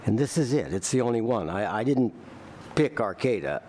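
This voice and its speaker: A man's voice: mid-range voice, animated, normal speed, warm tone, a little hiss or hum. A man, a sitting council member, speaking plainly and folksily at a candidate forum, often repeating his first words. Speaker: city council member running again.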